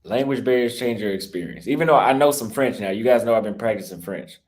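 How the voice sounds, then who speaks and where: A young American man with a slightly low voice, talking off the cuff on a livestream about his travels. He rambles, restarts his sentences and gets defensive, and his voice sounds a little cracked and worn. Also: fast talker, a little mellow. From United States. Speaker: travel YouTuber live-streaming. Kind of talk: casual livestream talk reading super chats.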